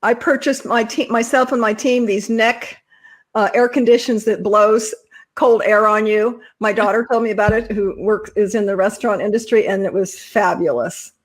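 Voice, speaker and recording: A woman's voice: medium pitch, steady tone, moderate pace. A middle-aged woman from Southern California, hosting an interview show, encouraging her guest and laughing often. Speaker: host. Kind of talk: casual interview chat.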